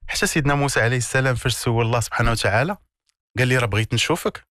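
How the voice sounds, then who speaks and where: A man's voice: medium pitch, fairly even intonation, racing, a bit bright, no echo, clean studio sound. A man with a Moroccan accent explaining self-development ideas as an interview guest, in an emphatic, didactic way with repeated key words and rhetorical questions. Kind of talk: conversational explanatory coaching in an interview.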